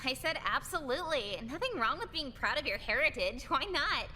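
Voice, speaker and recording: A flippant, gullible high-school girl in a game, high and bright. Her delivery is breezy and nonchalant with lively swings in pitch, over faint noise. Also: normal speed. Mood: breezy, unbothered. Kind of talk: visual novel game voice acting.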